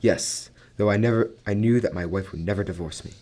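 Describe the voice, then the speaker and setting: A man's voice: fairly low pitch, fairly quick, mellow, natural voice. A man acting a proud, overbearing wealthy man in a radio drama, formal and domineering in manner. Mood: grudging, confiding.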